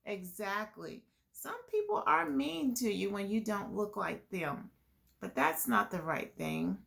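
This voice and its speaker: A woman telling a children's story in an expressive, deliberate way, as host speaking to young listeners. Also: medium pitch, vocal fry.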